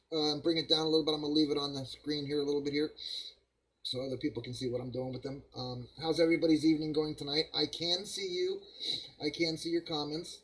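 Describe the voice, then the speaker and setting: A man hosting a casual live stream from his hobby bench, his voice froggy and rough from a cold. He talks in a slightly higher register for a man. He rambles easily and greets viewers by name as he works. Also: fairly bright tone, low-quality recording. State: hoarse from a cold, coughing. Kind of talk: casual live-stream chat while model building.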